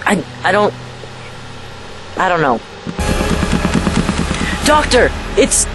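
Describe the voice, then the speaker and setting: A man's voice: high voice, big pitch swings, extremely slow, over loud music, heavily acted. A performer tagged male playing a troubled, defiant, guilt-ridden young boy, stubborn and earnest, in an audio drama. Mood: guilty, hesitant. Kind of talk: fan audio drama acting.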